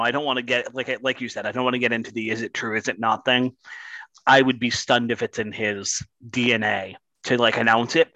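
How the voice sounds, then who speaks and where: A man with a bright, forceful voice argues football on a podcast, hammering his points by repeating them. His voice sounds dry and a little dull. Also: medium pitch, no echo. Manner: animated, builds points with repeated phrases. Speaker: podcast co-host. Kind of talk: casual sports chat.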